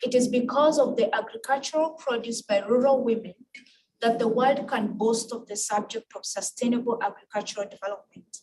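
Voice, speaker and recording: A woman with a Kenyan accent and Luo as her first language gives a formal, measured prepared speech with emphatic phrasing. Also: on the high side, steady tone, a little mellow. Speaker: guest speaker at an international women's event. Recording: distant mic with clear background noise.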